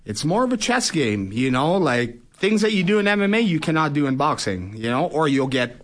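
A man's voice: a little high, very animated, brisk. A man speaking informally as an interview guest, a fighter and promoter, peppering his talk with "you know". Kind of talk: casual sports interview.

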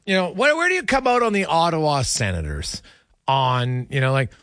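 A man's voice: on the high side, very animated, normal speed, fairly bright tone, no echo, studio quality. A man hosting sports talk radio, asking questions in a conversational interviewing style.

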